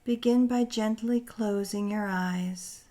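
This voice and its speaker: A woman narrating a scripted guided meditation in a soothing, gentle, deliberate manner with pauses. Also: mid-range voice, steady tone.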